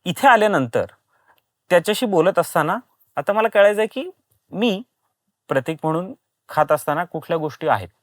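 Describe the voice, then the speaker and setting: A man's voice: sits high, animated, normal speed, pauses often, dry recording, studio quality. A man with an Indian accent, a voice actor and podcast guest, explaining step by step in casual talk with frequent check questions.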